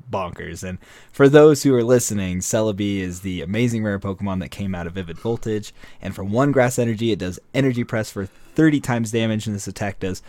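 A man hosting a casual card game podcast, chatty and relaxed, with fillers and restarts. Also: lowish voice, no echo. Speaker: podcast host.

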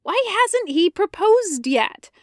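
A woman's voice: very high-pitched, sing-song, takes it slowly, no echo, clean studio sound, pitched above the performer's own voice, exaggerated voice. A woman voicing an exasperated, impulsive young man in an audio story, whiny and emphatic. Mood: exasperated, insistent.

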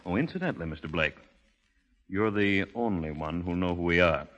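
Courteous crime boss, deep, formal, bright forceful tone, 1930s radio acting. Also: male voice, a little fast, lo-fi sound. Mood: commanding, polite. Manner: formal, polite, authoritative. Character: smooth, courteous male banker, secret crime boss.

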